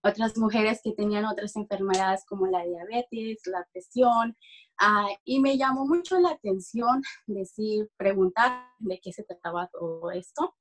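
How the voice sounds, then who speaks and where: Young mexican woman telling her own story on a video call, kinda halting, searching for words. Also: medium pitch. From Durango, Mexico. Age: young adult. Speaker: interview guest giving a testimonial. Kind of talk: conversational personal testimony. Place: small room at home, video call.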